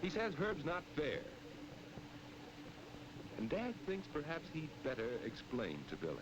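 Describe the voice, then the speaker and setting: A man's voice: a little high, wildly swooping pitch, measured pace, highs cut off, a crowd in the background. Old film narrator, man, widely swooping intonation. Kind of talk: 1940s educational film narration. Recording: noisy old film soundtrack.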